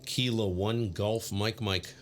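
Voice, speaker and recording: A man's voice: on the low side, fairly even intonation, slow. A man live-streaming amateur radio, chatting casually and muttering to himself between call-outs. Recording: clear background radio noise.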